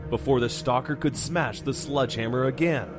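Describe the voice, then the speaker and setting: Male narrator for scripted horror fiction: mid-pitched storytelling, clear music underneath. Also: moderate pace.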